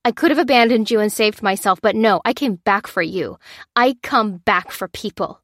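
A woman's voice: medium pitch, normal speed, fairly bright tone, dry recording, studio quality. A woman voicing a defiant young woman survivor who snaps back defensively. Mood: indignant. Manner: snapping, fuming, defensive. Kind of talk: audiobook character reading.

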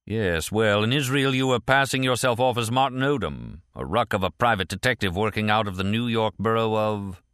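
A man voicing a suspicious, mock-polite customs supervisor with a dry, deadpan interrogating manner. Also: fairly low pitch, moderate pace, few pauses, clean tone, dry recording, voice pushed up, close to an everyday voice. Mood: sardonic.

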